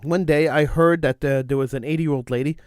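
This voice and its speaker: A man's voice: fairly high pitch, fairly even intonation, brisk, no echo. Man, middle-aged, talk radio host, slight cold, emphatic repetition, dry close studio sound. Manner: emphatic, repeats phrases for stress. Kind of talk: impassioned talk radio monologue.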